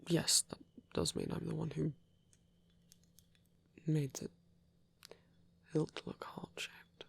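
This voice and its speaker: A performer acts out a devout, caring male vampire priest in an intimate ASMR roleplay. His voice is very breathy and often whispered, with a creaky edge. He speaks gently and thoughtfully, in measured, slightly formal phrases at a medium pitch. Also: little pitch movement, exaggerated voice. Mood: gentle, reserved.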